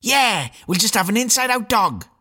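A man voicing a cheeky, excitable young boy with a shrill voice in a read-aloud story. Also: normal speed, exaggerated voice. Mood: mischievous. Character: boastful gory young boy, youngest child.

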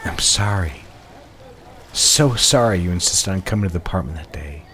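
A man playing a devoted but guarded new husband in a period audio drama, speaking with formal, courteous, measured endearments. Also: low-pitched, lively intonation, bright, forceful tone, a little hiss or hum.

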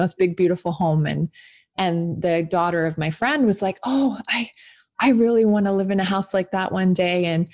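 A woman's voice: lowish voice, a little mellow. A woman in her forties, an author talking as a guest in a casual interview, chatty and rambling with many restarts. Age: 40s.

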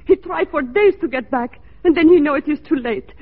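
Casting: actress plays intense young frontier woman, high voice, broken English with foreign accent, thin old radio sound. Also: a little fast, narrow, tinny audio, close to an everyday voice. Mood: pleading. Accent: put-on foreign, broken English. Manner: clipped broken grammar, intense. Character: passionate, possessive young frontier woman, desperately in love. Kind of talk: 1940s radio drama acting. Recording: thin band-limited 1940s radio recording.

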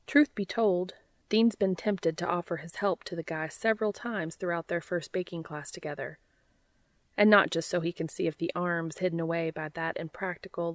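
A woman's voice: fairly low pitch, no room sound. A woman narrating a story from a script as an audiobook reader of fan fiction.

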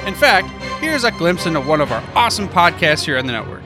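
A man's voice: fairly high pitch, big pitch swings, fast. A man hosting a podcast, giving a casual promo read and sign-off straight to his listeners. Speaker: podcast host. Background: faint music.

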